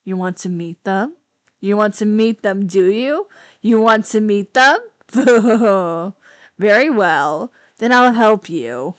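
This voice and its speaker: A woman voicing a cold, sinister woman who taunts slowly and softly. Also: medium pitch, expressive, no echo. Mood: sinister taunting. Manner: slow, soft, taunting.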